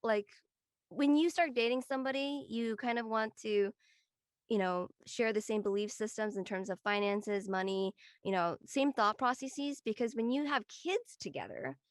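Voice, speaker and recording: A woman's voice: on the high side, normal speed, dry recording. Conversational woman panelist, dry close voice. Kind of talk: casual discussion, giving opinions.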